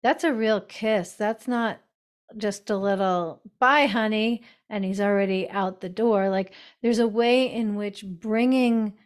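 Mulher de fala lenta e pausada. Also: female voice, medium pitch, steady tone. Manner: slow, reflective, unhurried speech. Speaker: author and guest. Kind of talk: podcast interview.

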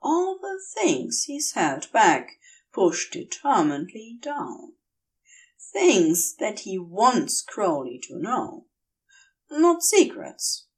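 A woman reading a story aloud as narrator, with expressive delivery. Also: medium pitch, very slow, far from the mic. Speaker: podfic narrator.

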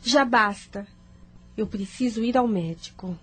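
A young woman playing an anxious, troubled daughter in a radio drama, with plaintive, expressive delivery. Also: a little high, unhurried, slightly rough. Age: young adult.

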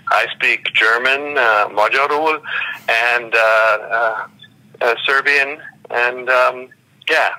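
A man in his sixties, a guest calling in by phone, telling lively stories and acting out the dialogue in them. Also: mid-range voice, takes it slowly, poor audio. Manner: lively anecdotes, voices quoted dialogue. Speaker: folk dance teacher, guest. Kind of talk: storytelling interview.

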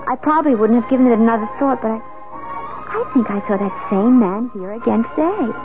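Radio mystery bride, female actor: lightly high, hesitant, guarded, on a very narrow old broadcast. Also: fairly quick, close to an everyday voice. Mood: uneasy, hesitant. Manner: hesitant, wary. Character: uneasy newlywed wife, wary of strangers. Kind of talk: 1970s radio mystery acting. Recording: tinny 1970s radio, music behind.

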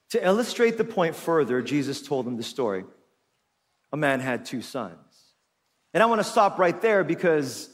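A man in his forties, a pastor who grew up speaking Tagalog, preaching an animated, humorous sermon told as a personal story. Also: fairly high pitch. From Philippines. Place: church auditorium. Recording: long hall reverb.

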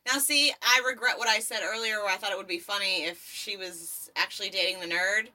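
A woman's voice: medium pitch, steady tone, a little fast, sharp tone, rough recording. A woman co-hosting a podcast, chatting casually and explaining the plot while watching a movie. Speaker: podcast co-host.

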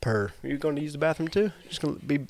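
Gravelly, congested podcast host. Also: male voice, on the high side, fast talker, a little mellow, dry recording. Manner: loose, laughing, rambling. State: congested from allergies. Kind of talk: casual chat.

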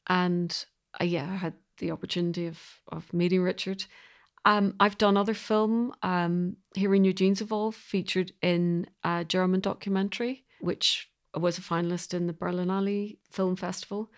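Woman guest in an interview, kinda low steady pitch, thinks out loud, halting but emphatic. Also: smooth. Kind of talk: reflective interview conversation.

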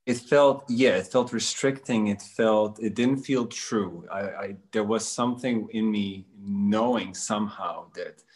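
A man from Bosnia, a spiritual coach, answering interview questions in a hesitant, musing way with many pauses. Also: on the low side.

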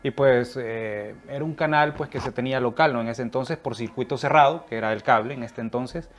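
A Salvadoran man talks as a guest in an easygoing conversation. His voice sits at an ordinary middle pitch, and he speaks earnestly, pausing on fillers as he thinks. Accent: Salvadoran Spanish. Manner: earnest, thoughtful, many fillers. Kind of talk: casual conversation.

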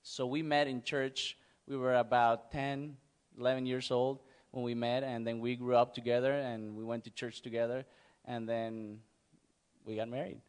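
A middle-aged man speaks English with a Mexican accent at a medium pitch. He is a missionary guest answering questions, plain-spoken and a little halting, often repeating words as he explains. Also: level tone, smooth. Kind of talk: informal interview before a church audience.

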